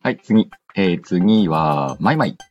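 A man's voice: deep voice, animated, measured pace, dull audio, faint music behind. A man hosts a casual radio-style show, reading listener messages aloud and adding playful quips. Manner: playful quips and retorts to what is read. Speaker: host of an audio show reading listener letters.

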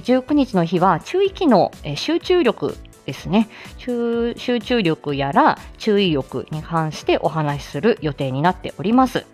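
A woman talks casually as the solo host of a live audio show, with lively, swinging intonation. She is candid and self-deprecating and laughs often as she speaks. Light music plays behind her voice. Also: medium pitch. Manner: laughs often while talking. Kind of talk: casual chatty solo livestream talk.

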